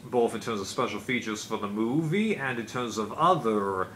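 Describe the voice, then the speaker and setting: A man's voice: medium pitch, lively intonation, moderate pace, fairly bright tone. A man giving a casual, unscripted review as a video host, explaining things in a rambling way. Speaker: video host, DVD collector. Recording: clear background noise.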